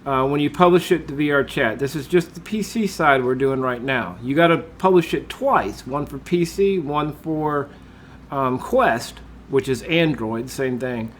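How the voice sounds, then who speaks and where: A man, congested from a cold, talking through a screen-recorded software tutorial in a relaxed way, with lots of uh and um. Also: medium pitch, a little slow, slight background noise. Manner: relaxed, with frequent uh and um fillers. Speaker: tutorial host.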